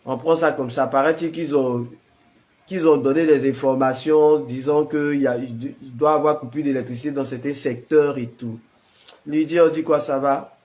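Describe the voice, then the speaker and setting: A man's voice: medium pitch, fairly even intonation, normal speed, darkish tone. A man with the flu, a prayer leader chatting casually with live-stream viewers and greeting them by name. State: has the flu.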